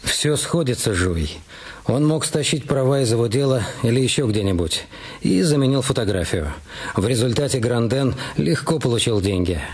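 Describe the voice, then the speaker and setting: A man narrating and playing the inspector in a radio detective play, speaking in a calm, dry, measured way. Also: medium pitch, slightly rough. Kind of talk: scripted radio drama narration. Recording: studio radio play with music bed.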